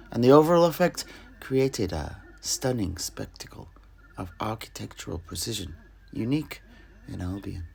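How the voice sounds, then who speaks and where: A man playing a wistful alchemist and truth-sayer, recounting his homeland in measured, dramatic, poetic fantasy storytelling. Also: lowish voice, vocal fry, a little mellow, breathy edge, full character voice. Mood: solemn recounting.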